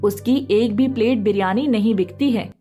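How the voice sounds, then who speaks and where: A woman's voice: on the high side, normal speed, mellow. A woman reading scripted narration for a moral story as the storyteller. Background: background music.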